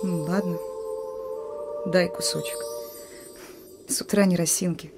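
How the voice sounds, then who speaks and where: A woman's voice: on the low side, steady tone, measured pace, vocal fry, over music. Brusque young partisan sentry woman. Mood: subdued, weary. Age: young adult. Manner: colloquial, brusque. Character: young wary female partisan sentry, gruff but soft-hearted. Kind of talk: radio drama acting.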